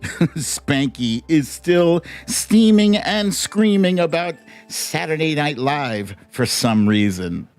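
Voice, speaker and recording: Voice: mid-range voice, lively intonation, slow, a little mellow. Casting: American livestream host, informal and playful, taking their time through casual greetings, faint music bed. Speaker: host of a live online show. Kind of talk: casual livestream chat with shout-outs to viewers.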